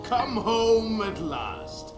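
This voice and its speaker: An actor playing a gloating, power-hungry vizier villain in a video game, with theatrical, mock-polite menace. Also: male voice, high-pitched, big pitch swings, very slow, exaggerated voice. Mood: smug menace. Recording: echoey, loud music behind.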